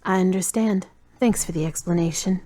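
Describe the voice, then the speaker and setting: A woman's voice: lowish voice, normal speed, warm tone, natural voice. A woman playing a kind, skeptical, teasing friend in an amateur audio drama, candid and wry. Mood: supportive.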